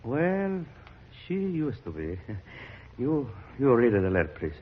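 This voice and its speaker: An actor playing a humble, proud Italian immigrant father with an Italian accent and halting broken English in 1940s radio. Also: mid-range voice, wildly swooping pitch, husky, exaggerated voice. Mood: shy, hopeful. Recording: muffled vintage radio recording.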